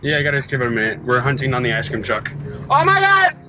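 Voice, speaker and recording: Animated, fast-talking young man in a car. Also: mid-range voice, noisy background. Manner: sarcastic, excitable. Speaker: son helping test a radio. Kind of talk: casual banter. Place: inside a moving car.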